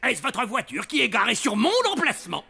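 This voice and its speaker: Nervous cartoon headmaster, high creaky voice, stammers, easily cowed. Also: male voice, lively intonation, a little fast, bright, forceful tone, full character voice. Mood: hesitant protest. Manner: hesitant, stammering. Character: timid, flustered male school director, easily cowed. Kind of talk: animated cartoon dub acting.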